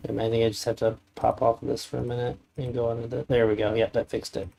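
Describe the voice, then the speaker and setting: A man at his streaming setup talks over a clip he is reacting to, in a middle pitch. His delivery is mocking and deadpan. He pauses often on drawn-out fillers before landing a dry jab. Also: creaky, slight background noise. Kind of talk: casual sarcastic commentary.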